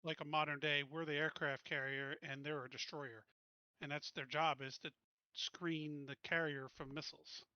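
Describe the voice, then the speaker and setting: A man's voice: fairly high pitch, flat delivery, normal speed, sharp tone, dry recording, highs cut off. A man chatting casually as a player in a tabletop game. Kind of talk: casual chat.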